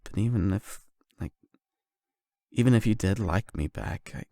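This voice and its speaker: A young man playing a nervous, lovestruck online friend in an intimate ASMR roleplay, speaking haltingly and awkwardly with self-doubt. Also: lowish voice, expressive, gravelly, mellow, no echo. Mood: nervous, rambling.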